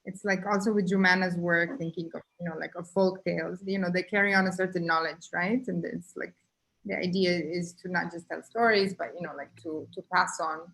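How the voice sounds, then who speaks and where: Woman guest in an online talk, lowish voice, halting, reflective, lots of "you know, like". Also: steady tone, creaky, fairly bright tone, rough recording. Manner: halting, thinking aloud with many fillers. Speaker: guest artist. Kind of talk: casual online conversation.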